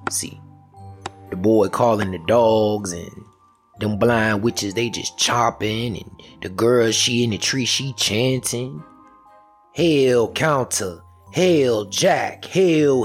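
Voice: mid-range voice, slow. A storyteller retelling a folk tale in a folksy, dramatic way, with music behind. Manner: folksy, dramatic, repetitive chanting delivery.

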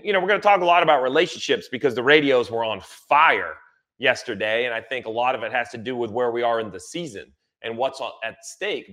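Guy breaking down racing, bright punchy voice, really emphatic, repeats stuff to make the point. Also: medium pitch. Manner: emphatic, repeats phrases for stress. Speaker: motorsport analyst. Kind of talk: conversational sports analysis.

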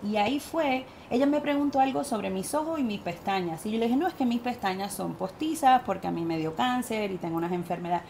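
A woman with a Puerto Rican accent, a guest medium telling her story in an animated interview. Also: mid-range voice, brisk, creaky, few pauses, slight background noise. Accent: Puerto Rican Spanish. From Puerto Rico.

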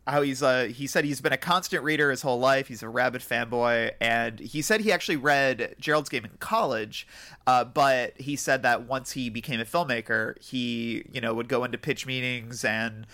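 A man's voice: mid-range voice, moderate pace, pushed and bright. A man hosting a podcast, talking casually about film and interviews. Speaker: podcast host. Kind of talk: casual film discussion with quoted interview material.

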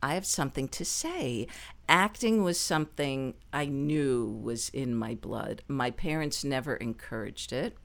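A middle-aged woman with a low speaking voice, a performer telling stories from her life in an interview, lively and quick to laugh. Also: vocal fry. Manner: lively, laughs often, animated asides. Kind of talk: conversational storytelling interview.

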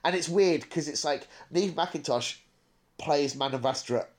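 A man's voice: fairly high pitch, measured pace. A man co-hosting a casual podcast discussion, giving opinions with frequent stammering restarts. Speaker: podcast co-host.

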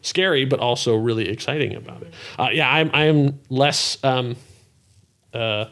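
A man co-hosting a podcast in casual, unscripted chat, rambling and halting, with a self-deprecating manner. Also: medium pitch, a bit bright. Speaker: podcast co-host and show creator.

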